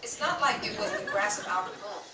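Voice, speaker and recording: A woman's voice: medium pitch, a little fast, very noisy, natural voice. A woman playing a teasing, dry-witted friend in an old-time radio style comedy. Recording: echoey live room, distant mic, loud audience.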